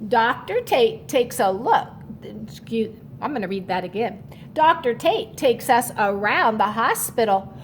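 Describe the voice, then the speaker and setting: A woman's voice: on the high side, sing-song, a little slow, slight background noise. A woman reading a children's storybook aloud like a teacher, adding short explanatory asides. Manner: instructive, checks understanding with short asides.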